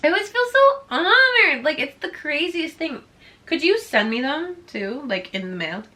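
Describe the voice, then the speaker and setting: Bright-voiced chatty young woman. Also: high voice, big pitch swings, moderate pace. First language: Swedish. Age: about 20. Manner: bubbly, chatty, heavy use of "like". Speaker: vlogger. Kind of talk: casual Q&A chat to viewers.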